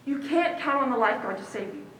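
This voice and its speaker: Woman playing a blunt, high-voiced young complainer. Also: expressive, quick pace, a bit bright. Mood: indignant. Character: blunt, unsympathetic young character, self-declared bad lifeguard. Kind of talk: stage monologue in a one-act play. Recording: echoey hall from far off, noise.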